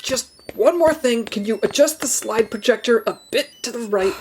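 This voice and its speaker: A woman's voice: a little high, moderate pace, a little mellow, slight background noise, natural voice. A woman playing a fussy, anxious and pedantic office agent in an audio comedy drama, very earnest. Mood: fussy, apologetic.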